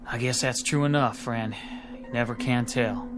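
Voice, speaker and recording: A man's voice: medium pitch, steady tone, normal speed, heavy background noise, close to an everyday voice. Man playing a weary, doubtful frontier traveler. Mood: weary, skeptical. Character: weary, doubtful frontier wagon-train companion. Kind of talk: radio drama acting.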